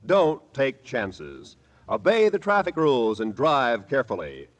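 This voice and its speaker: A man's voice: medium pitch, huge pitch swings, unhurried. A man announcing in polished, emphatic 1940s radio style. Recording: reverberant vintage radio recording with music.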